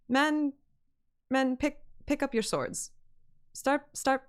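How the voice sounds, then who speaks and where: A woman's voice: sits high, sing-song, very slow, slight background noise. A woman game streamer giving casual commentary and narration over a story game.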